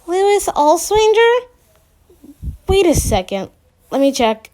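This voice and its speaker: A woman's voice: very high voice, sing-song, slow pace, a little mellow, voice pushed up, heavily acted. A woman voicing a wry teenage girl who teases dryly and gets flustered when caught out. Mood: teasing.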